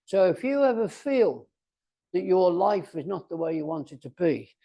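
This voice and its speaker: A man of about eighty giving a motivational speech, speaking theatrically and emphatically, with deliberate pauses and repetition. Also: sits high, big pitch swings, creaky, mellow. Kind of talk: motivational speech to a club audience.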